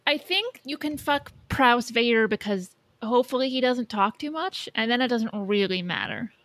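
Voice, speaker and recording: A woman's voice: a little high, moderate pace, fairly bright tone, no room sound. A woman co-hosting a podcast in casual chat, with a blunt, opinionated way of talking. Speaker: podcast co-host.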